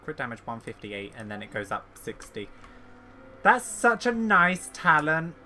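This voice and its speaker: A woman's voice: low voice, big pitch swings, a little slow, pushed and bright, slight background noise. A woman streaming a video game with casual, chatty, slangy commentary, reacting aloud as she plays.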